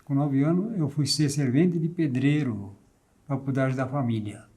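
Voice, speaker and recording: A man in his nineties from São Paulo state, telling briskly about his working childhood. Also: mid-range voice, dark tone. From Santo André, Brazil. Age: 90s. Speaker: war veteran interviewee.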